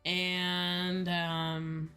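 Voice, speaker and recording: Low voiced girl streamer just rambling to chat, super casual, swears a bit, faint music in back. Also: monotone, very slow, pushed and bright, smooth. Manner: chatty, rambling, heavy use of like, casual swearing. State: sleepy. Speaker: VTuber livestreamer; school teacher. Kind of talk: casual livestream chat to viewers. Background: music behind the voice.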